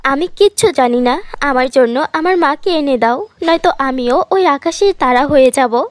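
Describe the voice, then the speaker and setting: A woman's voice: high voice, moderate pace, no echo, close to an everyday voice. A woman voicing a sad, pleading seven-year-old girl in a cartoon fairy tale. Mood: tearful, insistent. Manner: plaintive, childlike pleading.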